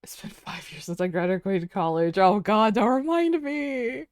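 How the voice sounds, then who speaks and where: A woman's voice: medium pitch, unhurried, darkish tone, dry recording, clean studio sound. A woman in her twenties chatting casually while drawing on a stream, rambling, laughing often and saying "dude" and "like". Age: 20s.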